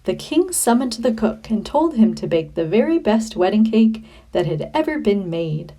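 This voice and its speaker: A woman reading a children's story like a teacher, with expressive, deliberate phrasing. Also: medium pitch.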